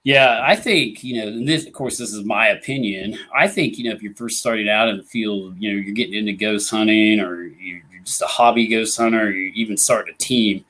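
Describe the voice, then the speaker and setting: A man's voice: lowish voice, brisk, hardly any pauses, fairly bright tone, rough recording. A Midwestern American man hosting a casual live ghost hunting talk show, rambling with lots of "you know" fillers and just getting over an illness. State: recovering from illness, voice coming back.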